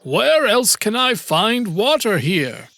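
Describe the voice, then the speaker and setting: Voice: mid-range voice, big pitch swings, slow pace, fairly bright tone, faint music behind, full character voice. A performer voicing a greedy, stubborn male frog in a children's story, speaking in a boastful, emphatic way. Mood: smug, greedy. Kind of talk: children's storybook character acting.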